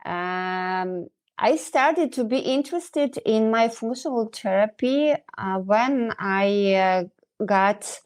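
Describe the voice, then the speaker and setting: Slow hesitant woman with a Ukrainian accent. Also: medium pitch, expressive. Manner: hesitant, searching for English words, light laughs. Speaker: dentist guest.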